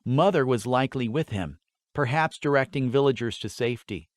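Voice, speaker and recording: A man's voice: medium pitch, a little fast, dry recording, clean studio sound. A man reading a scripted fantasy story as an audiobook narrator, with an earnest, dramatic delivery.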